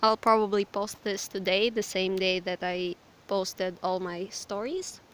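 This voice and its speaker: Hoarse, apologetic Ukrainian young woman. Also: medium pitch, steady tone, measured pace, a bit bright, a little hiss or hum. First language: Russian. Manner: hesitant, apologetic, lots of fillers. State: hoarse, sick. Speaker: vlogger. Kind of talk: casual rambling chat. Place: outdoors in a forest.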